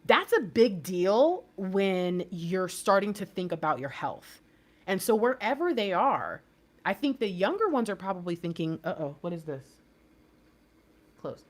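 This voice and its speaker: A woman's voice: mid-range voice, sing-song, unhurried. A woman in her thirties from the United States, giving a casual tarot reading in a chatty, rambling way and laughing often. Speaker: tarot reader.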